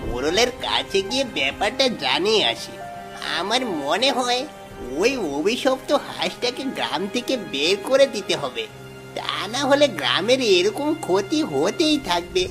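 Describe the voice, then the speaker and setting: A woman's voice: mid-range voice, big pitch swings, normal speed, music in the background, close to an everyday voice. A woman playing a worried, superstitious villager in a fairy-tale cartoon, fretful and emphatic.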